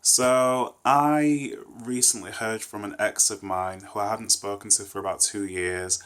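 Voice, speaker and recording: Low, flat-voiced man with a stuffy nose. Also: unhurried. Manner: thoughtful, rambling, earnest. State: congested, nasal from a cold. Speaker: vlogger. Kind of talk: casual reflective rant to camera.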